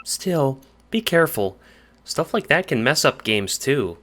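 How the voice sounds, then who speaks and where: A man voicing an earnest, bashful teenager with a gentle, hesitant manner in an audio drama. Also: medium pitch, sing-song, measured pace, soft background music, voice pushed up. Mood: concerned. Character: earnest, bashful, kindly teenager chatting with friends.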